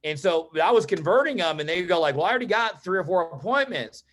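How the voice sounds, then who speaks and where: An American man from Texas tells personal stories as a guest in an online meeting, in an informal, animated way that often acts out dialogue. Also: high voice, fast. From Texas, United States. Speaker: interview guest, real estate agent and coach.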